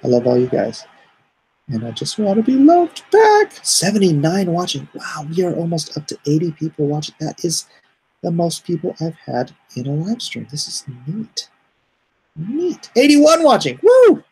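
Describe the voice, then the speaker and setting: A middle-aged man streaming live from home, reading chat aloud and answering it in an easygoing, even-tempered way. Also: on the high side, moderate pace, breathy edge, low-quality recording. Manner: calls himself soothing and even-tempered; reads chat comments aloud and answers them.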